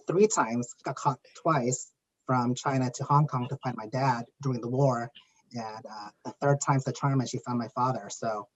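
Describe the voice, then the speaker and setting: A man leads a panel discussion in a mid-pitched voice, steady and unhurried. His words come with frequent fillers. The recording sounds dull and lo-fi. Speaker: panel moderator.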